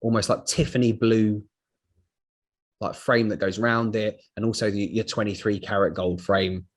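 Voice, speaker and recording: A man presenting in a casual, enthusiastic product chat, with a slightly husky voice. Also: lowish voice, steady tone, normal speed. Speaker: card company presenter.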